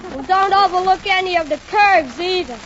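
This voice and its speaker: Cheeky know-it-all little kid, super high voice, dull old 1940s radio sound. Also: female voice, normal speed, fairly bright tone, slight background noise. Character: cheeky worldly-wise little boy, precocious wisecracker. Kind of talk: 1940s radio comedy acting.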